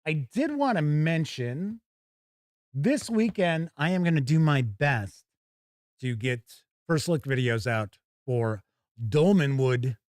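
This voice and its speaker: A man's voice: a little high, lively intonation, slow pace, darkish tone, dry recording, clean studio sound. An American man hosting a live stream, talking in a casual, chatty and emphatic way with colloquial turns of phrase. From United States. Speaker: YouTube live stream host.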